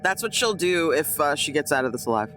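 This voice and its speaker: A man's voice: fairly high pitch, very animated, a little fast, over music. A man playing a tabletop role-playing game, chatting casually about what his character will do.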